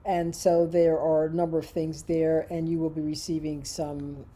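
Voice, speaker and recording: A woman's voice: low-pitched, level tone, moderate pace, mellow. A woman hosting an online knitting call, chatting and interviewing a longtime collaborator. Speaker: knitting designer and class leader. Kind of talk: casual call presentation and interview.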